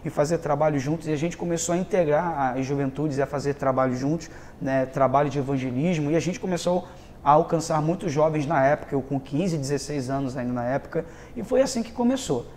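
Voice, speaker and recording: A man's voice: fairly high pitch, brisk, darkish tone, slight background noise. A man in his thirties with a Rio de Janeiro Brazilian accent, a pastor giving earnest personal testimony in a conversational interview, often checking in with tag questions and repeating words for emphasis. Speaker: pastor and youth leader, interview guest.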